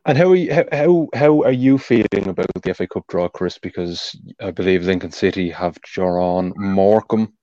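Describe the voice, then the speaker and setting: A man with an Irish accent hosting a football podcast, talking fast as he introduces guests and breaks down match tactics. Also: deep voice, dark tone, dry recording, muffled recording. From Ireland. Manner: talks fast, explains tactics at length. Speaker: podcast host. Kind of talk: casual football podcast chat.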